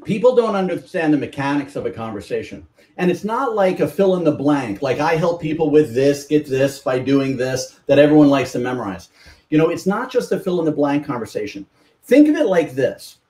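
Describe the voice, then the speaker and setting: A man's voice: fairly high pitch, very animated, fairly quick, mellow, distant mic. Male podcast guest, communication coach type, explanatory; off-mic, roomy sound. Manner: explanatory, teaching with examples. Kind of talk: podcast interview.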